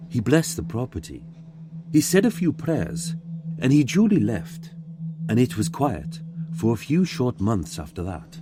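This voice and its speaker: A man reading a scripted ghost story in a measured, dramatic way, pausing for suspense. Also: medium pitch, big pitch swings, noisy background. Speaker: guest narrator reading a submitted ghost story.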